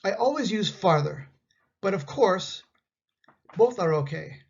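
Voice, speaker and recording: A Canadian man with a husky, breathy voice reads out a grammar lesson for learners of English, spacing each example sentence out. The recording has a slightly dull, muffled sound. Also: sits high, animated. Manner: slow, carefully enunciated example sentences. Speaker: English teacher.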